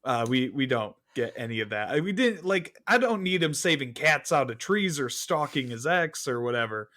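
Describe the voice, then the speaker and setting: A man's voice: medium pitch, very animated, moderate pace, sharp tone. A man speaking as a podcast guest in a casual film review chat, candid and conversational with rhetorical asides.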